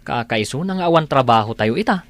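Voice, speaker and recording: A man's voice: medium pitch, lively intonation, quick pace, a bit bright, dry recording, lightly acted. A man playing a pushy, encouraging young friend in a radio drama, chummy, with an Ilocano accent. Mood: urging. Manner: urging, chummy.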